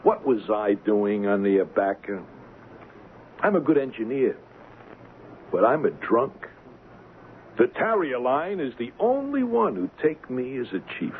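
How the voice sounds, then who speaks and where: An actor playing a bitter, mocking, hard-drinking ship's engineer turned blackmailer in an old-time radio drama. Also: male voice, medium pitch, sing-song, a little slow, pauses often. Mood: bitter, accusing. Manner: mocking, theatrical, taunting. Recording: lo-fi, noisy radio drama recording.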